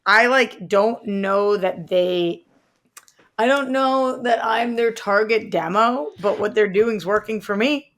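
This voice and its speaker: A woman's voice: mid-range voice, slow, fairly bright tone. A woman co-hosting a casual pop culture chat, speaking in a colloquial, slangy, conversational way. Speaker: podcast co-host.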